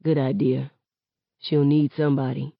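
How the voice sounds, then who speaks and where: A woman's voice: extremely low pitch, flat delivery, normal speed, creaky, no echo, highs cut off, pitched below the performer's own voice, exaggerated voice. A woman voicing a hot-tempered, protective young man in an audiobook, blunt and slangy. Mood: subdued. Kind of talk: audiobook character acting.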